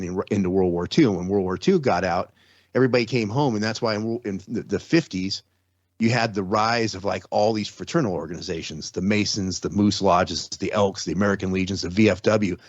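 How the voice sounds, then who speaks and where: A man's voice: fairly low pitch, fairly quick, no echo. A middle-aged man speaking as a guest in a casual interview, rambling with many restarts and tag questions. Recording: dry, dull lo-fi sound.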